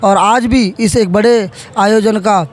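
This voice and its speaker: High-pitched man in his thirties. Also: fairly even intonation, slow pace. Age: 30s. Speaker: politician, interviewee. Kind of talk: interview answers.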